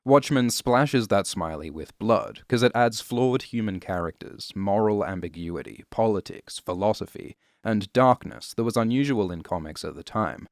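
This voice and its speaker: A man narrating a scripted explainer in a dry, deadpan way, with casual asides. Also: lowish voice, moderate pace, hardly any pauses, no echo. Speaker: host and narrator of explainer videos. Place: close, dry voice-over recording.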